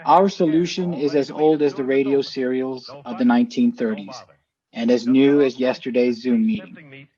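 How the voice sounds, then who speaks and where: A man reading a scripted introduction to a program in a measured, articulate presenter's delivery. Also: mid-range voice, steady tone, warm tone, muffled recording. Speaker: team representative introducing the show.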